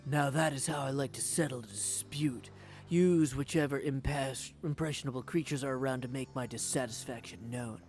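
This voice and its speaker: A man acts out a haughty figure of Greek myth from a video game, formal and declamatory, every phrase delivered theatrically. Music plays clearly behind the voice. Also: medium pitch, fairly even intonation, normal speed, darkish tone, lightly acted. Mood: haughty displeasure.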